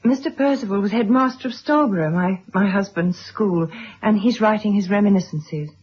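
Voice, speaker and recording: A woman's voice: medium pitch, moderate pace, breathy edge, lightly acted. An actress playing a reserved, wounded middle-aged wife and mother, speaking formally and huskily in a radio drama. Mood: composed, courteous. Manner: formal, restrained, hesitant. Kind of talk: 1970s radio drama acting. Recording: dull lo-fi vintage radio recording.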